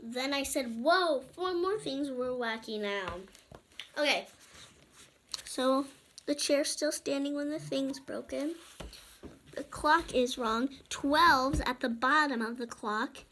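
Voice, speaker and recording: High-voiced young girl reading a storybook. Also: slow pace, bright, forceful tone, slight background noise. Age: child. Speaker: storybook reader and channel presenter. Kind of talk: reading a picture book aloud with informal asides to young viewers.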